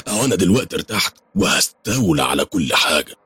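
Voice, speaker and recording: A man's voice: rumbling low, fairly quick, bright, forceful tone, husky, no room sound, full character voice. A man voicing a greedy, gloating pasha in a storybook tale, commanding in Egyptian Arabic.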